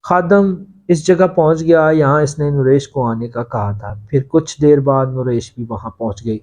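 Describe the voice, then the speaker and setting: A man's voice: medium pitch, normal speed, a little mellow. A man reading a serial story aloud in a scripted, dramatic narration style. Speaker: audiobook narrator.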